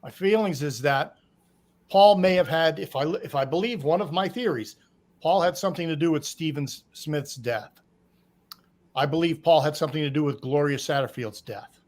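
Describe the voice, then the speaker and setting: A man's voice: a little high, normal speed, darkish tone. A man with a Boston accent speaking as a panel guest, offering his personal take in a casual discussion. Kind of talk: casual opinion commentary.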